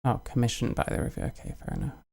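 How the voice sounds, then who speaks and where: A woman's voice: rumbling low, huge pitch swings, fast, creaky, mellow, slightly rough. A British woman streamer casually reading out and answering viewer comments in short, offhand replies.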